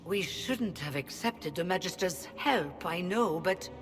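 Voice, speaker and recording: A woman playing a proud but defeated leader of mages in a video game, grave and measured. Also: fairly low pitch, animated. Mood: weary, regretful. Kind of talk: video game cutscene acting. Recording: reverberant hall with music behind.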